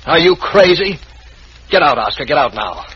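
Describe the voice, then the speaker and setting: A man's voice: a little high, very animated, moderate pace, slightly rough, exaggerated voice. An actor playing an agitated, impatient man ordering people away in an old-time radio mystery. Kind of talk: 1940s radio mystery acting. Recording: muffled, hissy old radio recording.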